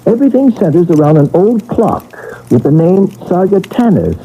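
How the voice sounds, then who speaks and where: An actor playing a calm, earnest paranormal investigator in an old radio mystery, speaking in a measured, reassuring way. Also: male voice, high voice, husky, highs cut off, heavily acted. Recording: noisy old radio broadcast recording.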